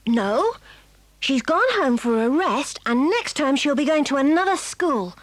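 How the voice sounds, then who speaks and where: High, plucky English schoolgirl. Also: animated, measured pace, no echo. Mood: earnest, apologetic. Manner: earnest, plucky, jolly schoolgirl talk. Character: warm-hearted, bossy, breezy boarding-school girl, a twin. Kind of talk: 1980s children's audio dramatisation acting.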